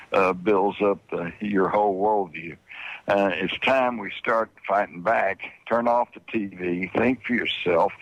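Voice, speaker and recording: A man's voice: on the low side, a bit bright, no room sound, low-quality recording. An older man speaking as a radio interview guest, opinionated and emphatic with a folksy way of talking. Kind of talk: opinionated political discussion.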